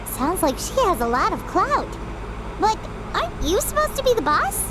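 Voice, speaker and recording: A woman's voice: very high voice, wildly swooping pitch, moderate pace, background noise, full character voice. A woman voicing a tiny, childlike fairy companion in a video game, chirpy, curious and blunt. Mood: surprised. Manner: chirpy, exclaiming, refers to self in third person. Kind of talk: video game character acting.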